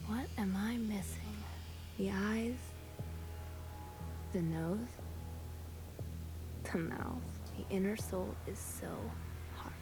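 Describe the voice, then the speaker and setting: A woman's voice: mid-range voice, takes it slowly, long pauses, lightly acted, rough recording. A woman voicing an earnest, frustrated young student in a video game, in a dramatic, introspective way. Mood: anguished. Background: loud game music.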